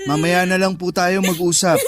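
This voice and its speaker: Man acting young husband and dutiful son, gentle, weary, pleading, radio soap, prominent background music. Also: high voice, flat delivery, moderate pace, natural voice. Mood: soothing, weary. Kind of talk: Filipino radio drama acting. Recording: clear music under the voice.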